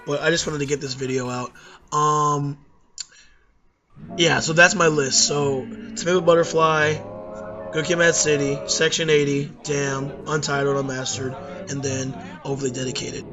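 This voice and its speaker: A hoarse young man ranking albums in a rambling, casual talk, full of fillers and self-corrections. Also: fairly high pitch, flat delivery, moderate pace, highs cut off, over music. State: hoarse from losing voice at a concert. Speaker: YouTube music reviewer.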